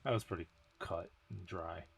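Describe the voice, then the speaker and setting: A man's voice: sits low, normal speed, vocal fry, breathy edge. A man narrating a game story as an amateur dramatic reading, with informal self-deprecating asides.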